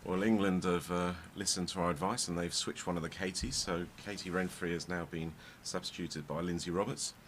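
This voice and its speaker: Deep-voiced Belgian pool commentator. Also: male voice, fairly even intonation, fairly quick, hardly any pauses. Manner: switches between English and French, wry. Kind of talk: live sports commentary. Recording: noisy live stream commentary.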